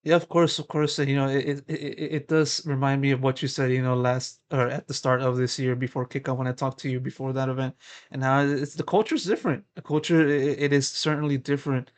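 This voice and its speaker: A man's voice: mid-range voice, steady tone, a little fast, clear, resonant tone. A young man interviewing a player, asking long, meandering questions full of "you know" and repeated words. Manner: rambling, repeats words, many you-knows. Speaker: esports interviewer.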